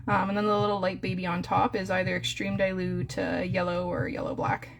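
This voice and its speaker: A woman's voice: lowish voice, flat delivery, fairly quick, gravelly, slight background noise. A young woman with a Canadian accent chatting in a casual live stream, full of fillers and coos. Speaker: hamster breeder streaming live.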